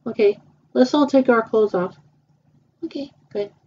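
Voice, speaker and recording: A young woman in her twenties, improvising a roleplay dialogue in a hesitant, halting way, with many repeats and fillers. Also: fairly high pitch, darkish tone. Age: 20s. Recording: muffled lo-fi home recording.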